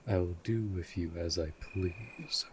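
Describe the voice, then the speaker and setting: A man playing a cold, possessive vampire master in an audio drama, speaking in a formal, commanding, measured way. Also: sits low, noisy background. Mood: stern, menacing. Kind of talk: scripted roleplay audio drama.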